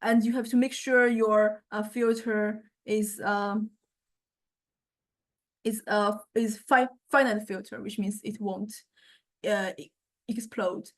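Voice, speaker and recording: A woman giving an informal lecture and software demonstration, speaking haltingly with repeated word starts and frequent check-in questions. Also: mid-range voice, fairly even intonation. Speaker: music technology lecturer.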